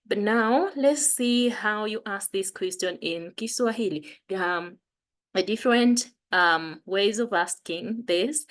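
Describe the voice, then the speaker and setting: A woman with a Kenyan accent teaching a beginner language lesson, patiently repeating phrases. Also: mid-range voice.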